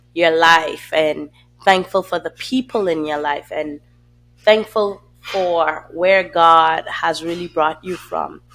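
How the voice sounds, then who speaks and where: A woman hosting a faith podcast, her voice raspy, dry and hoarse from a cold, talking earnestly and reflectively with many fillers and restarts. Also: fairly low pitch. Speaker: podcast host. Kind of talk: casual faith podcast talk.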